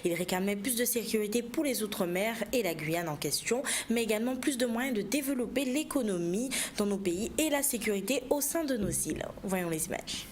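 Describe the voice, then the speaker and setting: A female news presenter introducing a report in a measured, scripted delivery. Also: medium pitch, animated.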